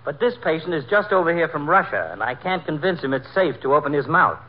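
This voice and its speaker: A man's voice: a little high, expressive, fast talker, close to an everyday voice. A man playing a wry, dignified physician who teases drily in 1940s radio comedy style. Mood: dry, teasing. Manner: dry, wry, teasing. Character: wry, dignified physician trading barbs with a neighbour. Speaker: doctor. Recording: dull, noisy 1940s radio recording.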